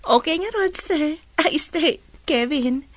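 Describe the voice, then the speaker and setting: An actress playing a friendly, teasing young nurse in a radio drama, with an Ilocano Filipino accent. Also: female voice, high voice, lively intonation, takes it slowly, no echo.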